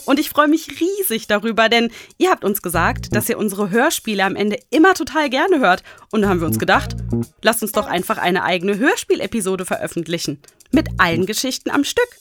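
Casting: female podcast host, upbeat scripted intros, animated medium-pitched delivery, faint plucked guitar behind. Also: brisk. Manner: upbeat, addressing listeners directly. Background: light guitar music.

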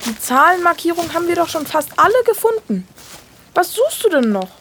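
A small boy acted by a woman in a radio play, whining and full of doubts. The voice is very high and lively, with faint noise around it. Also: brisk, full character voice. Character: whiny, hungry, sceptical little brother. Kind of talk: German audio drama acting.

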